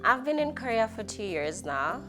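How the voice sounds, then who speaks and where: A woman's voice: fairly high pitch, sing-song, moderate pace. A South African woman with a South African accent, speaking candidly as an interviewee. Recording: music behind the voice.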